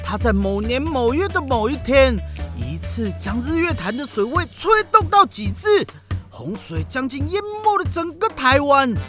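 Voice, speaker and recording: A woman voicing an earnest, excitable schoolchild in a Taiwanese Mandarin children's radio drama, speaking with dramatic emphasis. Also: sits high, normal speed. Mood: solemn storytelling. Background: music.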